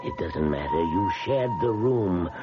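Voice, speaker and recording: A man acting a sinister, menacing old occultist in a radio mystery, with a deliberate, incantatory delivery. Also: deep voice, expressive, music in the background. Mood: sinister, insistent. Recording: dull vintage-style radio drama sound.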